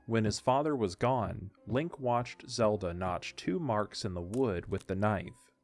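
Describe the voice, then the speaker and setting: A man's voice: fairly low pitch, measured pace, a little mellow, faint music behind. A man narrating a scripted audio drama as its storyteller.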